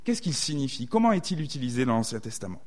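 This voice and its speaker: French preacher with a southwestern accent. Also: male voice, medium pitch, big pitch swings, fast, darkish tone. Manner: thoughtful, hesitant, rewording often. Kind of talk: sermon.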